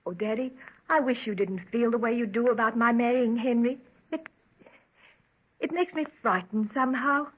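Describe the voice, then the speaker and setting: An actress playing a worried, devoted young daughter with an emotional, pleading manner in an old-time radio drama. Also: female voice, fairly high pitch, moderate pace, husky. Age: young adult. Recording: muffled, tinny old radio recording.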